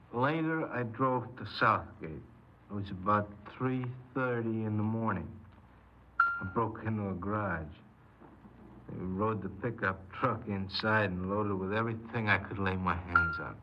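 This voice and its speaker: An actor playing a cold, remorseless, cocky killer and burglar in a 1950s radio crime drama, speaking in a clipped, matter-of-fact, commanding way. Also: male voice, fairly low pitch, measured pace, close to an everyday voice. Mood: detached, confessing. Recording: noisy, dull 1950s radio recording.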